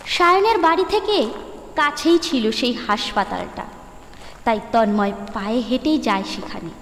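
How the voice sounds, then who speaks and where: A woman storyteller narrating a ghost story dramatically, with deliberate, suspenseful phrasing and pauses. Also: fairly high pitch, expressive, a bit bright, a little hiss or hum. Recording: echoey long reverb, music underneath.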